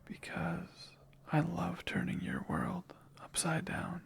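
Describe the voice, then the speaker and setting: A man voicing a possessive male sleep paralysis demon in an ASMR roleplay, whispering slowly and teasingly in a gravelly, breathy voice. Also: a little high, wildly swooping pitch, full character voice. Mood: possessive, menacing. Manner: hushed close whispering, slow and teasing.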